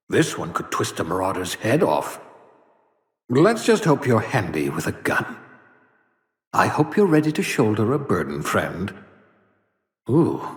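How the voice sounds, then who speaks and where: Heavy echo surrounds an eccentric male scientist from a video game. The voice sits fairly low but moves in lively swoops. He is wry and theatrical, teasing as he sizes people up. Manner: wry, theatrical, backhanded praise. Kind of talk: video game character one-liners. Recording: echoey hall-like reverb on voice.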